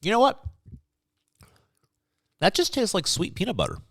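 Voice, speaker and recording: A man co-hosting a podcast, chatting casually and musing out loud as he tastes snacks, in an upbeat, chatty way. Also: high-pitched, wildly swooping pitch, moderate pace, vocal fry, no echo, clean studio sound. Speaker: podcast co-host. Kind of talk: casual snack-tasting chat.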